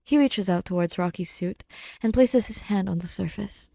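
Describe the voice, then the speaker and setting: A woman's voice: medium pitch, normal speed, creaky, warm tone, dry recording. A woman reading scripted fiction expressively as an audiobook narrator, close to the microphone. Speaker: podfic narrator.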